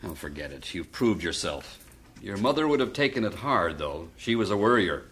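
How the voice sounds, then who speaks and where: Fond middle-aged dad, gentle wry philosophizing, lowish voice, radio play. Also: male voice, very animated, normal speed, slight background noise. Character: fond widowed father, stock investor. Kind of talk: vintage radio drama acting.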